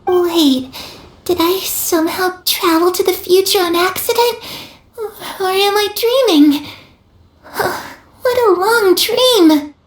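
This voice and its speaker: A woman's voice: very high voice, slow, echoey room. A woman voicing a cheerful, trusting young girl ghost who talks earnestly and childlike in a game cutscene. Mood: eager. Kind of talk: video game cutscene voice acting.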